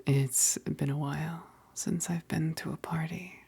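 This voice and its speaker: A woman playing a teasing, affectionate, wistful vampire best friend in a soft, breathy whispered ASMR roleplay, speaking hesitantly. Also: sits low, fairly even intonation, exaggerated voice. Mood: warm, relaxed. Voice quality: breathy, husky whisper with gravelly edges. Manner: slow, hesitant, punctuated with soft chuckles.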